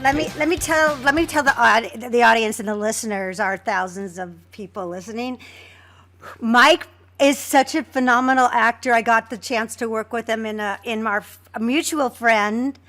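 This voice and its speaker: A woman's voice: on the high side, moderate pace, fairly bright tone, faint music behind. A woman hosting a podcast in casual chat, effusive, often repeating and restarting her phrases. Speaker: podcast host, co-creator and voice actress.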